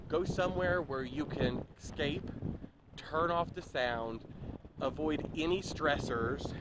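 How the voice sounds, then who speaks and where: A man in his thirties giving casual, rambling advice while walking on a park path, filming himself at arm's length. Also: medium pitch. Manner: relaxed, rambling, with fillers and restarts. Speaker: vlogger. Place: outdoors on a park greenway, walking. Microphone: handheld camera at arm's length. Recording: outdoor camera audio with clear ambient noise.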